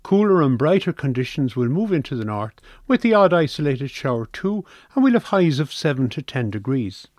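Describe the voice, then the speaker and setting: A man's voice: mid-range voice, sing-song, moderate pace, hardly any pauses, a little mellow, dry recording, studio quality. A man with an Irish accent reading a scripted weather forecast for radio.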